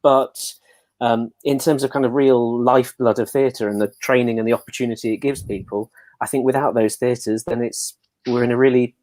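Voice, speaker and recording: A man speaking as a panel guest in a thoughtful, articulate and measured way, reflecting as he goes. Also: medium pitch, fairly even intonation, darkish tone, no echo. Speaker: theatre producer, panel guest. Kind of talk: thoughtful discussion.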